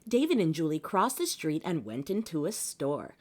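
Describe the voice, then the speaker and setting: A woman reading a children's picture book aloud in an animated, theatrical, expressive way. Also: low voice, moderate pace. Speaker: children's story reader.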